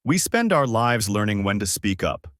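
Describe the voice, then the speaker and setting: A man's voice: on the low side, very animated, normal speed, no echo, clean studio sound. A man narrating a dramatic story, voicing an earnest mother who speaks as a witness. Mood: earnest, moved. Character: earnest mother of a small boy, crime witness. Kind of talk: narrator reading story dialogue.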